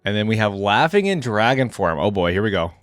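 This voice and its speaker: A man's voice: fairly low pitch, sing-song, a little fast, slight background noise. A man hosting a reaction video, giving casual, conversational commentary and thinking aloud. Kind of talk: casual commentary on game voice lines.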